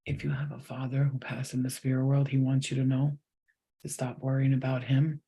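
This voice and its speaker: A man's voice: mid-range voice, flat delivery, brisk, gravelly, mellow, breathy edge, low-quality recording. A man giving a channeled psychic reading to viewers, talking straight to them, emphatic and repetitive. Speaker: psychic reader.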